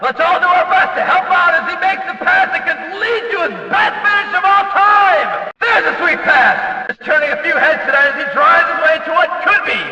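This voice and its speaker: An excitable male race announcer for a racing video game. He pitches his voice very high and drives each call out with showy, emphatic force. It sounds distant from the mic, in a strongly echoing space. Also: moderate pace, few pauses, a faint crowd, exaggerated voice. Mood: excited, hyped. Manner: emphatic, showy, stretched-out delivery.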